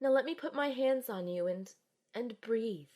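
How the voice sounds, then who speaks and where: Woman acting young female healer, fairly high voice, earnest, soothing, emotionally involved, audio drama storytelling. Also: animated, normal speed, a little mellow, a little hiss or hum, close to an everyday voice. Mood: soothing, urgent. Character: earnest young female healer narrating her own ordeal. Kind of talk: amateur audio drama, first-person narration and dialogue.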